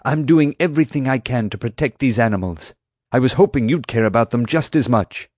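A man's voice: medium pitch, animated, moderate pace, darkish tone, no echo, studio quality, pitched above the performer's own voice, lightly acted. A man doing an earnest, courteous ranger. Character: earnest, polite male park ranger.

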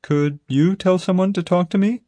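A man's voice: high-pitched, moderate pace, dark tone, no room sound, voice pushed up, lightly acted. A man voicing an earnest, anxious young woman zoo worker who pleads for help. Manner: eager, pleading.

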